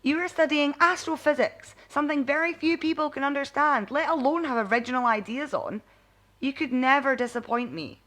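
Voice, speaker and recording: A woman voicing a huge, kindly older male mentor with a calm, matter-of-fact manner in a bedtime story. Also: high voice, fairly quick, pitched above the performer's own voice. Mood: reassuring.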